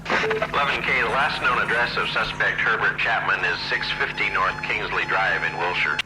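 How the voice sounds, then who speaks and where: Low police radio dispatcher. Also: male voice, normal speed, poor audio. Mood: impersonal. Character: brisk, impersonal male police radio dispatcher. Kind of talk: game radio dispatch acting.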